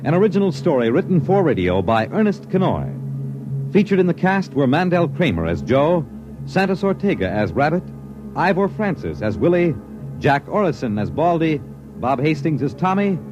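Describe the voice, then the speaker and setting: A man announcing a 1950s radio drama in a booming, dramatic way. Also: very animated, moderate pace. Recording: dull archival radio recording with music.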